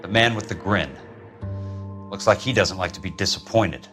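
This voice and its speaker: A man's voice: low voice, moderate pace, bright, forceful tone, soft background music. A man acting a dogged, tough but polite insurance investigator in a crime game, with an American accent and a terse, wry delivery. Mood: musing.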